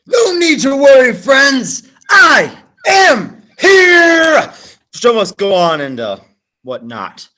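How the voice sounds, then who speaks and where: A young man in a school stage play, playing an excitable contest winner who bursts into shouting. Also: very high voice, slow, full character voice. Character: overeager male contest winner, goofy and loud.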